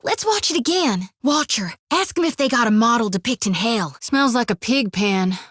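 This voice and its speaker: A woman's voice: fairly high pitch, animated, moderate pace, fairly bright tone, husky, no room sound. A plainspoken young priestess with a drawl for a video game, earnest with a wry edge. She drops "reckon" and "ain't" freely in a close, dry studio take. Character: folksy young woman priest carrying a soul lantern. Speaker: game companion character. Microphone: close studio mic.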